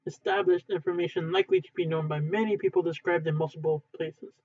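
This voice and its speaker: High-voiced man reading haltingly, phone-like sound. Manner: halting, word-by-word reading with stumbles. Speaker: amateur reader, channel owner. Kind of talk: reading a textbook aloud, unedited. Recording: muffled, phone-like narrowband sound.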